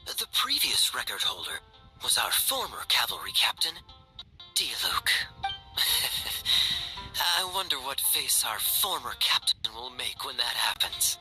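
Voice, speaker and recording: A man's voice: medium pitch, very animated, takes it slowly, low-quality recording. A man voicing a suave young knight captain in an anime-style video game, with a sly, theatrical, teasing drawl. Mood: sly, amused. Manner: theatrical, drawling, playfully insinuating. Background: game music.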